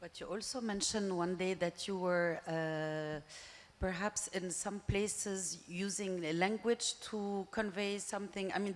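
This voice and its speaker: A woman moderating a panel, asking long, searching questions with hesitations. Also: mid-range voice. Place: museum panel stage. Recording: long hall reverb.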